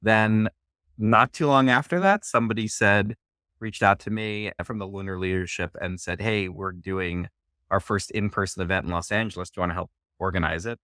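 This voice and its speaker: A man, a community leader, telling a story as a podcast guest in an energetic way. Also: lowish voice, moderate pace, clear, resonant tone, dry recording, clean studio sound. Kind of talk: casual podcast conversation.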